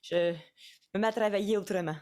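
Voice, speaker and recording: A woman's voice: medium pitch, steady tone, a little fast, darkish tone. A young woman with a Quebec French accent, a singer-songwriter chatting casually in an interview and often restarting her words.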